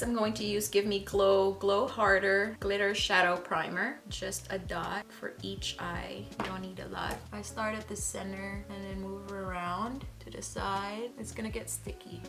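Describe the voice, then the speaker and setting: Soft spoken lady doing a makeup tutorial, mid pitched, all excited about every shade. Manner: gushing, enthusiastic about products. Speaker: beauty vlogger. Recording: background music under voice. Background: music.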